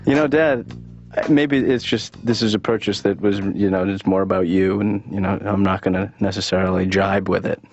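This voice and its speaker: A man playing a laid-back, casually dismissive grown son in improvised cartoon comedy, talking in a halting mumble. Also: sits low, fairly even intonation, a little mellow, faint music behind. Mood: casual, evasive. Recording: dull, lo-fi audio.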